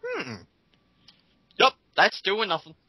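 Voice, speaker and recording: A man's voice: high-pitched, huge pitch swings, takes it slowly, sharp tone, breathy edge, no room sound. A man giving sarcastic, mocking commentary while reading online comments aloud. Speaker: host of a dramatic reading.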